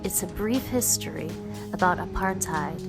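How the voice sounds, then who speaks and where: A woman narrating a children's book as a read-aloud, in an expressive, deliberate way. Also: fairly low pitch, gravelly, over loud music.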